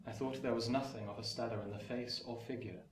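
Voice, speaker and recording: A man acting an earnest, lovestruck young gentleman in a radio play, polite and eager. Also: medium pitch, steady tone, quick pace, close to an everyday voice. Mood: polite, awed. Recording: roomy echo, distant mic, faint noise.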